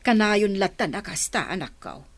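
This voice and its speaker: A woman's voice: medium pitch, expressive, moderate pace. Concerned older Ilocano mother. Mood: chiding, worried. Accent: Filipino, Ilocano. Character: concerned mother, older, chiding and advising grown son. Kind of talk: Philippine radio drama acting.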